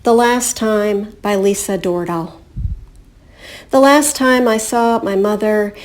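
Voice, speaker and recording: Woman, poet, slow pace, medium pitch, deliberate poetry reading. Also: a little mellow. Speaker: poet reading own work.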